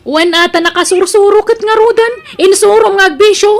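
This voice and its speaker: A woman plays a quarrelsome, fed-up wife with an Ilocano accent. Her voice is extremely high-pitched, and she scolds and accuses with a sharp tongue in audio drama style. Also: normal speed. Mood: scolding, fed up.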